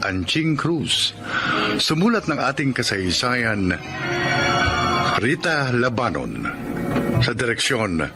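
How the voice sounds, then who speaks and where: Male announcer, slow, dramatic swooping intonation, scripted, theatrical, loud music bed. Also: medium pitch, sing-song.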